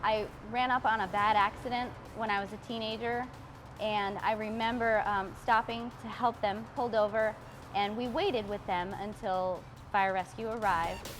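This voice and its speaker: Brief: woman firefighter, heartfelt testimonial, clear music bed behind. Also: medium pitch, steady tone, normal speed. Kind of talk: interview testimonial.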